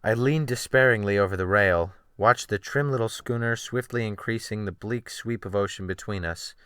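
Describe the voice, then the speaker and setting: A man giving a theatrical, expressive first-person dramatic reading of a literary sea tale as an audiobook narrator. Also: lowish voice, moderate pace, clean tone, no room sound, studio quality. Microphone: USB desktop microphone.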